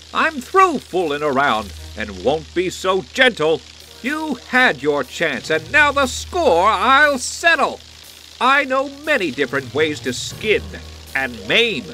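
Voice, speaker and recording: Man doing a theatrical, high-pitched insect supervillain. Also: pushed and bright, exaggerated voice, low-quality recording. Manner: theatrical, taunting, speaks in rhyme. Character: boastful rhyming male insect supervillain. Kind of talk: superhero audio drama villain acting.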